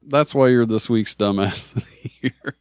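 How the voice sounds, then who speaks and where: A man's voice: medium pitch, measured pace, a little mellow, clear, resonant tone, no echo, studio quality. An American man hosting a casual comedy podcast in an easygoing conversational way. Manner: laughs often while talking. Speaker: podcast host.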